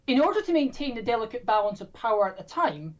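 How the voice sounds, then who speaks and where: A woman hosting a trivia quiz, reading questions in a brisk, playful, self-mocking way. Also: on the high side, sing-song, lo-fi sound. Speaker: quiz host.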